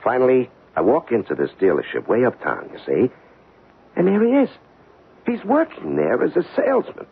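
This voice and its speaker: An actor playing a dogged, weary homicide detective and husband in a 1970s radio drama, colloquial and explanatory in manner. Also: male voice, mid-range voice, wildly swooping pitch, normal speed, husky, heavily acted. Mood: wry, chatty. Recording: tinny band-limited old radio broadcast.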